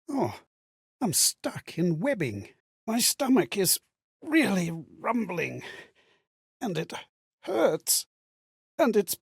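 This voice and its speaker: A courteous lost spirit from a fantasy video game, steeped in melancholy. His voice sits a little high and sounds dry and close. He delivers dramatic monologues with measured, theatrical reflection. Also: dry recording, heavily acted. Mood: confused, pained. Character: melancholy, courteous lost male spirit reliving strangers' memories.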